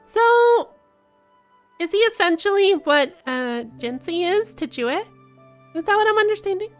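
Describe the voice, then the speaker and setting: Very high, lively woman streamer. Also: measured pace. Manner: chatty, musing aloud. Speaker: gaming streamer reacting to a story. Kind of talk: casual live reaction commentary. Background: game music.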